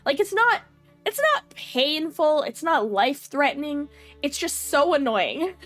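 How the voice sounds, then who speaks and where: A woman's voice: high voice, very animated, measured pace, fairly bright tone, soft background music. A young woman streamer chatting casually with her viewers, her voice nasal and stuffy from a runny nose, full of fillers and giggles. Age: young adult.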